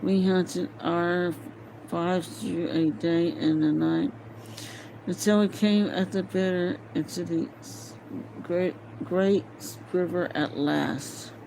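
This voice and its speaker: A woman reading a novel aloud informally, halting and stumbling over words, with muttered casual asides to herself. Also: lowish voice, steady tone, vocal fry, dark tone, a little hiss or hum, poor audio. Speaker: amateur reader of a novel aloud.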